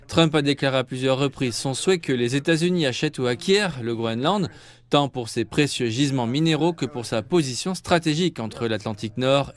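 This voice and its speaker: A man narrating a scripted news report in a steady, measured way. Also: mid-range voice.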